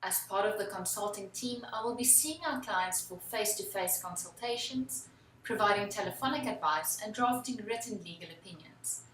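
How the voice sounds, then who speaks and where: A young woman with a South African accent and Afrikaans as her first language, an attorney giving formal, measured answers in an interview. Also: medium pitch, fairly bright tone, far from the mic, slight background noise. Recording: echoey room, away from the mic.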